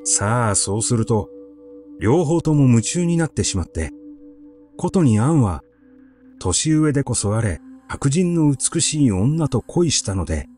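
A man reading a literary story aloud in a measured, expressive, conversational storytelling manner, close to the microphone. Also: medium pitch, soft background music. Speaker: audiobook reader.

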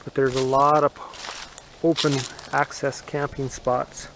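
Man narrating outdoors over loud noise. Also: medium pitch, unhurried. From United States. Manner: relaxed, matter-of-fact pointing out sights. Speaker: travel vlogger. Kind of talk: casual walking tour narration. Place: outdoors by a river in winter.

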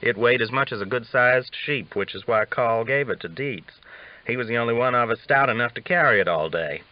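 A man's voice: medium pitch, fairly quick, no echo, lo-fi sound, voice pushed up, lightly acted. A man voicing a talkative, teasing old Texas cowboy with folksy, drawling talk. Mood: teasing, animated.

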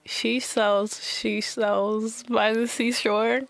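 Vox pop female, slightly high, creaky, hesitant casual talk. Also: flat delivery, drawn-out words, no room sound.

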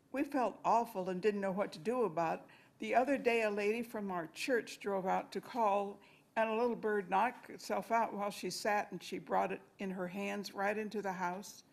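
Gravelly older woman reciting poetry. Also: mid-range voice, darkish tone. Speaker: amateur reader in a reading-aloud group. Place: library meeting room, indoors. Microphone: podium microphone.

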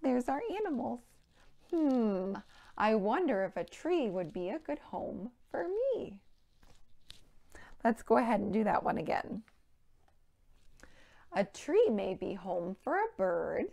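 An American woman in her thirties leads storytime for small children. Her voice is fairly high and she takes her time, speaking in an animated, clearly enunciated way with simple phrases and rhymes. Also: slow pace, long pauses. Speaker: children's librarian and storytime presenter. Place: indoor children's play room.

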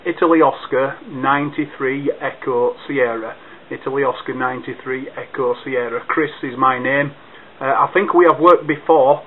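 A man makes a ham radio contact in brisk, clipped phrases at a middle pitch. The recording is narrow and phone-like, with a faint hiss behind him. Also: steady tone. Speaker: amateur radio operator.

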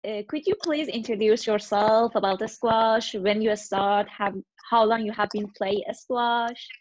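A woman interview host whose first language is Persian, asking questions in slow, careful English over a video call. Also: a little high, no echo. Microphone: webcam on video call. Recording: dull lo-fi video call audio.

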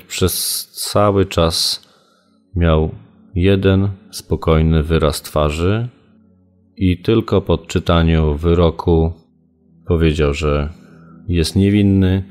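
A man speaking as a journalist, recalling events in a casual, measured and hesitant way. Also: low-pitched, a little mellow. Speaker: journalist giving an interview. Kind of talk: casual spoken recollection.

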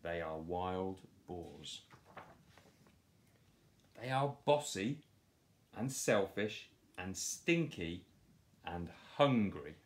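A man in his thirties reading a picture book aloud to children in a playful, expressive storytelling style. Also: deep voice, extremely slow, long pauses, slight background noise. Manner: animated, playful delivery. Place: small living room, on a sofa indoors. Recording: roomy home echo.

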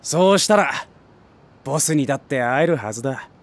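An actor playing an ambitious, streetwise stray tomcat, a small-time gang big brother with brash, rough talk in a voice drama. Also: male voice, mid-range voice, expressive, fairly quick, close to an everyday voice. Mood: cocky, scheming. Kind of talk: Japanese voice drama acting.

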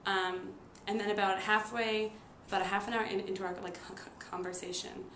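A woman in her twenties telling a personal story casually to camera, halting and stuttering with repeated sounds, blocks and frequent fillers. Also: medium pitch, little pitch movement, fairly bright tone. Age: 20s. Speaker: nursing student and stuttering therapy client. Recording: roomy echo with noticeable background noise.

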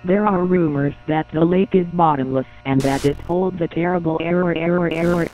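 A man's voice: on the high side, moderate pace, a little mellow, faint music behind. A man reading scripted announcements and narration. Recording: phone-like narrow band with hum.

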